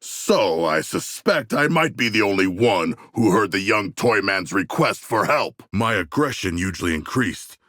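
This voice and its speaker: An actor playing a hulking, proud humanoid shark warrior in a video game, speaking in grandiose, formal language with a gravelly voice. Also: male voice, lowish voice, expressive, unhurried, few pauses, a bit bright, no echo, clean studio sound. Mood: formal, thoughtful. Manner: grandiose, formal diction with precise, elevated wording. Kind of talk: video game character voice lines.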